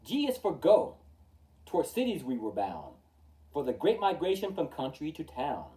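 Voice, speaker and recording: Animated man reading aloud, slightly distant. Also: fairly high pitch. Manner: theatrical, measured delivery with emphatic openings and falling line endings. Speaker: storytime host reading aloud. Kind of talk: expressive children's picture-book read-aloud in rhyme.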